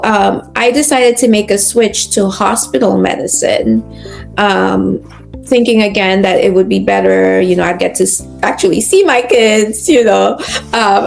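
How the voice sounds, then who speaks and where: A woman in her thirties, a physician, talking through her career in a relaxed interview, pausing with fillers and restarts. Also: medium pitch, creaky, low-quality recording. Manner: reflective, many fillers and restarts. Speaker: physician guest. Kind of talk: conversational interview.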